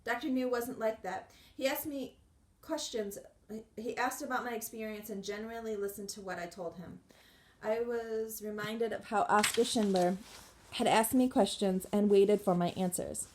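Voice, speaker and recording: A woman reading a memoir aloud in a measured, earnest way, with occasional restarts. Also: mid-range voice, reverberant. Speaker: audiobook reader.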